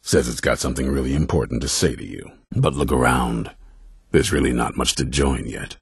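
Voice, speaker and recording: An actor playing a stern, suspicious vampire-hunter commander in a fantasy video game, blunt and commanding, with a gruff gravelly voice. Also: male voice, moderate pace, dry recording, studio quality, heavily acted. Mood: gruff, grudging. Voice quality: very deep, gravelly, raspy. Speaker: leader of a vampire-hunting order.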